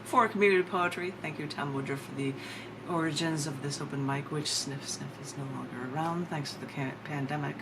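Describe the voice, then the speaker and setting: A woman with an American accent giving a live poetry reading, with theatrical, drawn-out emphasis on her words. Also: low voice. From United States. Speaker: poet. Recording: clear background noise.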